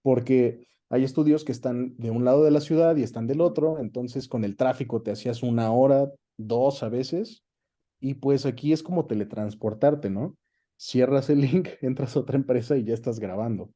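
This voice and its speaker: A Mexican man with a very dark, mellow voice at a medium pitch. He explains things casually as an interview guest, often tagging his points with a quick check for agreement. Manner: explanatory, checks in with tag questions. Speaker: interview guest, voice actor and dubbing director.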